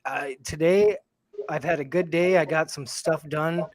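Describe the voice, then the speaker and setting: A man in his forties co-hosting a casual livestream chat, speaking in a reflective, halting way with many fillers. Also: a little high, a little hiss or hum. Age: 40s. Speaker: livestream co-host.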